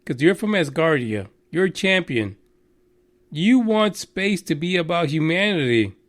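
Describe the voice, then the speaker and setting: A man's voice: a little high, big pitch swings, unhurried. A Texan man hosting a radio news show, rambling and mocking with plenty of swearing and filler words. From Texas. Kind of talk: profane rambling news commentary.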